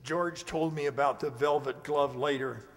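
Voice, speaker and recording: An actor playing a storyteller narrating a friend's adventure in a scripted radio play. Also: male voice, a little high, fairly even intonation, normal speed, lightly acted. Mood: recounting. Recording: hall reverb, faint noise.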